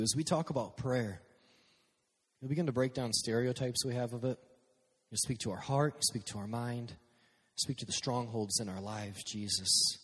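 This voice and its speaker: A man preaching a casual, energetic sermon to young people on a stage microphone, dropping to quiet prayer at times. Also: medium pitch, breathy edge, in a large hall. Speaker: youth pastor. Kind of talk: youth sermon. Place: church hall with a live sound system.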